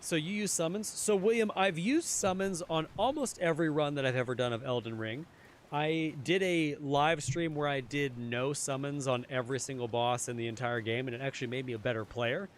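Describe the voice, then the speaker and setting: A young man streaming a game, chatting casually with his viewers, his voice a little hoarse. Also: medium pitch, a little fast, a little hiss or hum. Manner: chatty, answers chat, repeats phrases. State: slightly hoarse. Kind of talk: casual live-stream chat while gaming.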